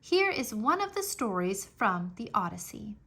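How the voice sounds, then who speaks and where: A woman narrating a children's history book aloud in an expressive storybook style, enunciating clearly and stressing dramatic words. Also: medium pitch, moderate pace.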